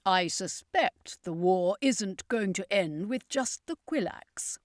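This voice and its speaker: A proud, world-weary circus master acted out by a woman drawls through a fantasy audiobook. He is wry and deliberate, dragging his words slowly, with a very dry, close sound. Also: mid-range voice, expressive, no echo. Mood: grim, wry.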